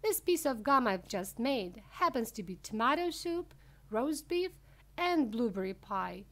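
A woman voicing an eccentric, excitable male candy inventor, proud and emphatic as a showman, in a storybook reading. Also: a little high, a little slow. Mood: grandly boastful.

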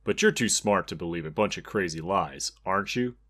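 Folksy cop villain, lowish voice, smiling menace, intimidating audiobook dialogue played by a man. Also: expressive, fairly quick, a bit bright. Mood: falsely friendly. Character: policeman, folksy, falsely friendly, menacing adult villain.

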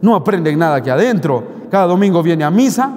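Middle-aged Mexican priest, lively homily with teasing rhetorical questions; slightly high voice, handheld mic in a reverberant church. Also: male voice, fast talker. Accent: Mexican Spanish. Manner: animated, joking, scolding with rhetorical questions. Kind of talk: homily at Mass. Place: large church, indoors. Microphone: handheld microphone.

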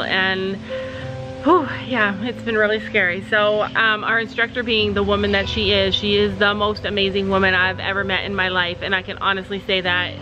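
A middle-aged mother talking to her phone camera on an outdoor porch in a casual vlog, halting and emotional, with many ums and sighs. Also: mid-range voice, rough recording. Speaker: family vlogger, mother. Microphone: phone held at arm's length. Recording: background music under the voice. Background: music.

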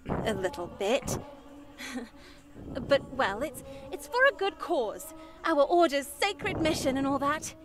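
A pious young nun from a visual novel, quick to chide. Her voice is high and swooping, yet slow in pace, with music behind it. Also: lively intonation. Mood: gently pious. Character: pious, chiding young convent sister. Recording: game audio with music behind.

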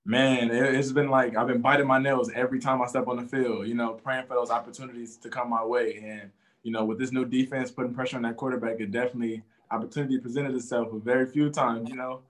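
A man's voice: mid-range voice, little pitch movement, fast talker. A young man, a college defensive back, answering press questions in an easygoing way, often saying "you know" and "man". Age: young adult. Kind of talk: post-game press conference answers.

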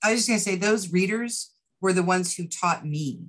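A middle-aged woman with a notably low voice speaks as a guest in an interview. She is on a video call from indoors and talks in a casual, conversational style. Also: normal speed. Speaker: writer-producer and interview guest. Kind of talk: casual chat. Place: indoor room with bookshelves.

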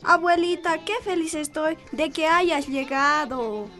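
Woman acting a high-voiced Bolivian teenage girl. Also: a little slow, bright, forceful tone, soft background music. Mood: happy. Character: guarded, dutiful teenage girl, soft but defiant. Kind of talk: radio drama acting.

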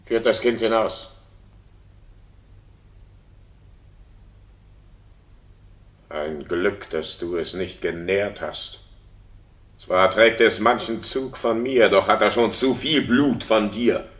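An actor playing a jealous, bitterly sarcastic king with deliberate delivery in a 1960s German radio drama. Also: fairly low pitch, fairly bright tone, roomy sound. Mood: cold. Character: jealous tyrant king accusing his wife.

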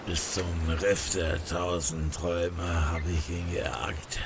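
An actor playing a gruff old sailor who tells boastful sea yarns in a slow, melodramatic audio-drama style. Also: male voice, low voice, level tone, slight background noise, exaggerated voice. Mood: brooding, dramatic. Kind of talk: German audio drama acting.

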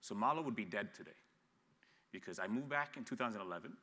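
A man's voice: fairly low pitch, animated, quick pace, bright, forceful tone, long reverb tail. A man in his thirties who grew up in Ethiopia, speaking frankly as an entrepreneur on a panel, with frequent restarts. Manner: blunt, frank, with frequent restarts and repeated words. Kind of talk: candid panel talk. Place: studio stage set, seated in an armchair.

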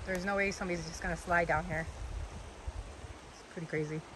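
A woman's voice: fairly low pitch, steady tone. Woman vlogging outdoors amid noise. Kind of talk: casual outdoor vlog chat. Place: outdoors on a rocky creek trail. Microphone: handheld camera at arm's length. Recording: noisy outdoor recording with wind and rushing water.

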